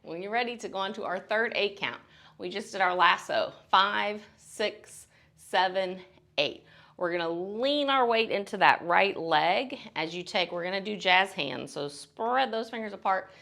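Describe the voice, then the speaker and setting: Female dance instructor for a beginner tutorial. Encouraging, counts out beats, mid-pitched with noticeable vocal fry. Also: expressive, a little slow, a bit bright.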